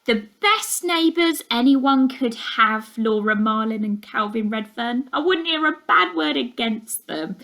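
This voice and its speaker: A woman's voice: fairly high pitch, lively intonation, a little slow, creaky, hardly any pauses, bright, forceful tone, exaggerated voice. A woman voicing a gossipy, opinionated neighbour in a storybook, confiding and emphatic. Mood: gossipy, admiring. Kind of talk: storybook character monologue.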